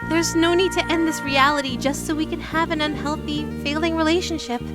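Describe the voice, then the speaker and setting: A woman voicing a gentle, wistful young eldritch monster girl in a visual novel game, speaking softly and in measured phrases. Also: sits high, steady tone, lightly acted. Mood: wistful, resigned. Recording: guitar music loud behind voice.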